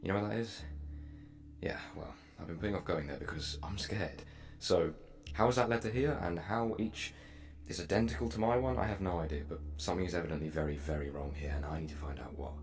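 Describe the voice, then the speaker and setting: Actor as low-voiced, fast-talking earnest man. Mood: confiding, resolute. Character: earnest, anxious man who travels space and time. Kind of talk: audio drama acting.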